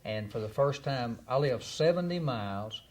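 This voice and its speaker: Folksy Texan man, mid-pitched, easygoing yarn-spinner. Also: lively intonation, normal speed. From Texas. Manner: folksy storyteller, laughs easily. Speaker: guest. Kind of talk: casual interview chat.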